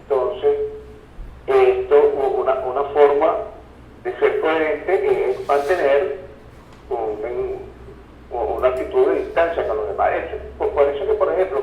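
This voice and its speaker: A dull, echoey recording of a Venezuelan man, set well back from the microphone with faint noise around him. He explains analytically as an interview guest. His delivery is halting and didactic, with frequent checks that the listener follows. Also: mid-range voice. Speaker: interview guest, communication researcher. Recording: roomy, distant, dull sound, faint noise.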